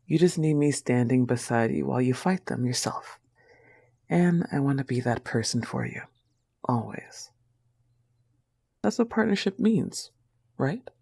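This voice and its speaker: Girl voicing a clingy sweet wolf girlfriend audio roleplay, tender n teasing, slow with lots of fry, soft breathy bits. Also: extremely low pitch, animated, heavily acted. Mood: tender, earnest. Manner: tender and teasing, often dropping to a breathy whisper.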